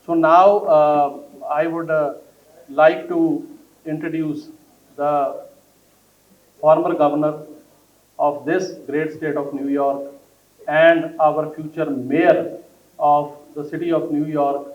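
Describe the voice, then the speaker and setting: A man introduces the speakers slowly and deliberately, pausing often. His voice sounds thin and phone-like, and it echoes around a large room. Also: a little high, level tone. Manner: slow and deliberate, with pauses. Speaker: event host. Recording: echoey hall on a tinny line.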